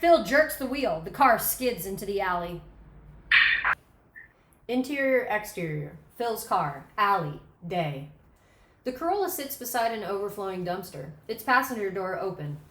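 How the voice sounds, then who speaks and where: A woman's voice: medium pitch, a bit bright, a little hiss or hum. A woman reading scene directions as scripted narration at a screenplay table read. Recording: roomy echo.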